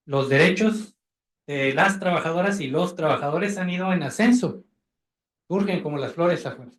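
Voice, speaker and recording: A man with a Mexican accent giving formal, deliberately phrased remarks as a judge speaking from the audience. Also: on the high side. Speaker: labor judge commenting from the audience. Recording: echoey event room.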